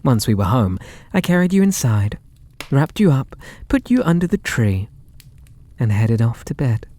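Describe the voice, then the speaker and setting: Man acting as a mellow British possessive yandere. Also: medium pitch, sing-song, moderate pace, no room sound, lightly acted. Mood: smug, amused, then mock offended. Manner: doting, intimate, theatrical, giggly. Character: possessive, lovestruck male yandere kidnapper, gleefully sweet. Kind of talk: ASMR roleplay audio drama.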